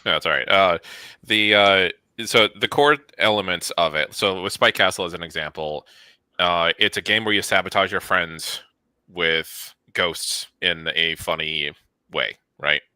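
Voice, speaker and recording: A man with a low voice and a very bright, forceful edge gives conversational advice. He explains point by point with emphasis. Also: unhurried, clear, resonant tone, dry recording.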